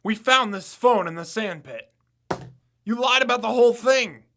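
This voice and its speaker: An actor playing a brash, suspicious young amateur detective in an audio drama, with pointed, accusatory questioning. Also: male voice, extremely high pitch, moderate pace, pushed and bright, slight background noise, heavily acted. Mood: accusing. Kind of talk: scripted audio drama acting.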